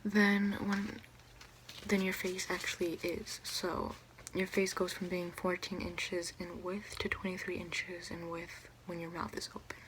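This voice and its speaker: A young woman whispering slowly and deliberately in an ASMR roleplay as a doctor, breathy and close to the mic. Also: on the low side, flat delivery, background noise. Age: young adult. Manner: slow, deliberate, repetitive counting and instructions. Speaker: ASMR performer role-playing a doctor giving a check-up. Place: small room at home, indoors. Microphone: close to the camera or mic, seated.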